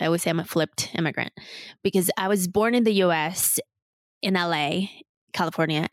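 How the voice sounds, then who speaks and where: A woman from Mexico City, talking as a guest in a relaxed, candid way and laughing easily. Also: on the low side, no echo, studio quality. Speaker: podcast host and guest. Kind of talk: casual interview chat.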